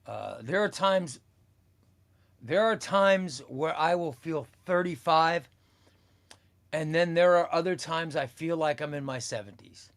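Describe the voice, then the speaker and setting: A man in his fifties chatting on a live comics stream. He talks at an unhurried pace in a slightly high voice, rambling and circling back over his own phrases as he reads comments aloud. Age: 50s. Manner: rambling, repeats phrases, slow and easygoing. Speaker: comics livestream host. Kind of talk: casual chat reading live comments.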